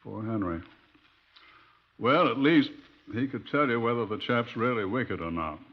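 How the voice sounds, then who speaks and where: A man's voice: medium pitch, measured pace. A man acting a wry, bookish adviser in a radio drama, musing and dry. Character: wry, bookish male adviser, unhurried and droll. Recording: muffled old radio recording.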